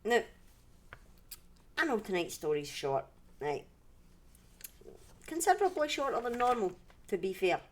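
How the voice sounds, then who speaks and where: A Scottish woman hosts a casual livestream from home, talking at a middling pitch. Her delivery is conversational and meandering, with sentences that break off and pick up again as she thinks aloud. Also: big pitch swings, measured pace, pauses often. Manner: rambling, self-interrupting, conversational. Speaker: livestream host and storyteller. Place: indoors at home.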